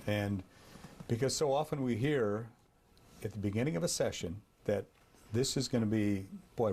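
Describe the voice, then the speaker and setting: A man's voice: on the low side, darkish tone, husky. A man interviewing a guest for TV news, asking direct questions in a conversational way.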